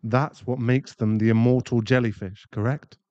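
A man's voice: mid-range voice, steady tone, moderate pace, dark tone, lo-fi sound, natural voice. A man playing a composed, coolly authoritative doctor in an audio drama, speaking formally and precisely. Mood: cool, businesslike.

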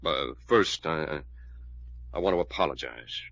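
An actor in old radio drama playing a bitter, bossy man with a gruff, threatening manner. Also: very deep voice, lively intonation, moderate pace, fairly bright tone, heavily acted. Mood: sullen, resentful. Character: bitter, menacing, bossy man nursing a grudge. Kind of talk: 1940s-50s radio drama acting. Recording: muffled old radio broadcast recording.

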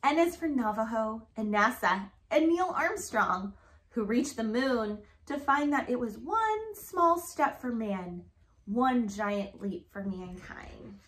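A woman's voice: on the high side, expressive, a little slow, a bit bright. A woman in her thirties reading a picture book aloud to children, sing-song and encouraging, in a living room. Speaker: storytime reader. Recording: roomy, echoey home recording.

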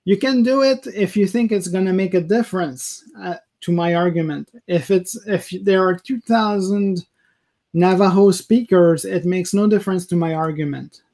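Higher-pitched man, halting talker. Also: darkish tone. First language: French. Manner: halting, searching for words, self-correcting. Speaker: video blogger. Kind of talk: casual opinion rant to camera. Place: small indoor room, home office.

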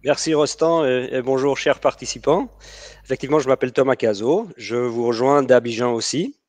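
A man's voice: medium pitch, fairly quick, darkish tone, no room sound. Middle-aged man from the US on a headset. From United States. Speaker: company director, panelist. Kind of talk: self-introduction on webinar. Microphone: headset on video call.

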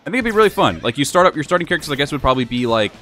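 Chatty young man, lively, rambling game talk. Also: a little high, rapid-fire, soft background music. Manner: chatty, rambling with many fillers. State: slightly hoarse, losing his voice. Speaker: gaming channel host. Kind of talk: casual let's-play commentary.

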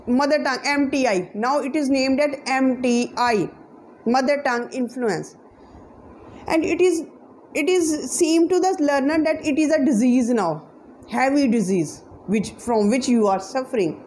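Young pakistani woman teaching english to camera, high voice, earnest and emphatic, punjabi native, indoors on a sofa. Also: darkish tone, slight background noise, rough recording. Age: young adult. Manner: earnest, emphatic, lots of hand gestures. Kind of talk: motivational talk to camera. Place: indoor room, seated on a sofa.

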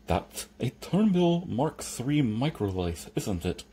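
A man acting a deferential, husky monster servant. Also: medium pitch, sing-song, slow, mellow, heavily acted. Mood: smooth, unflappable. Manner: deferential, formal, salesmanlike. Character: Igor, stitched-up servant creature, obsequious, unflappable, grumbling.

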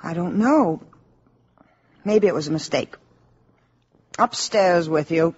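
An actress playing a warm, gentle young mother in a 1950s radio drama. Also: fairly low pitch, expressive, slow pace. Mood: gracious, motherly. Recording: dull, hissy 1950s radio recording.

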